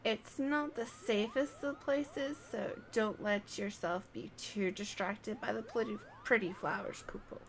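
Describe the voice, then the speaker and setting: A woman's voice: mid-range voice, steady tone, a little slow, few pauses, lightly acted, rough recording. A woman voicing a helpful, scatterbrained little moogle alchemist in a video game, with eager, stumbling, flowery speech. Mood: flustered, urging.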